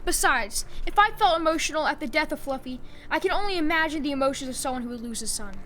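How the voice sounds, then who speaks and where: A woman playing a principled, gentle young hero in an audio drama, earnest and reflective. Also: high-pitched, expressive, quick pace, soft background music. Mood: wistful. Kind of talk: scripted audio drama acting.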